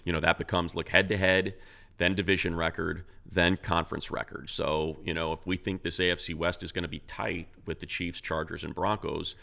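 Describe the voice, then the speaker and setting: A man's voice: low voice, level tone, brisk, creaky, sharp tone. A man co-hosting a casual sports talk show, talking loosely with many restarts and fillers. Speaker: co-host, radio play-by-play announcer.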